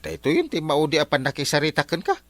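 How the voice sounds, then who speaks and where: A man's voice: fairly high pitch, huge pitch swings, fast talker, fairly bright tone, no room sound. A man with an Ilocano accent playing a reproachful friend fed up with a borrower, in a colloquial radio drama.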